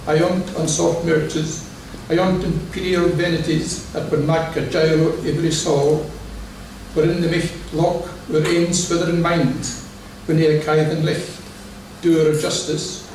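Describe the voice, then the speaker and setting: A man reading his poetry to an audience, in a measured, declamatory way with brief spoken asides. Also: a little high, a little mellow, a little hiss or hum. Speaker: poet. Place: large church hall. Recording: echoey church hall from far off.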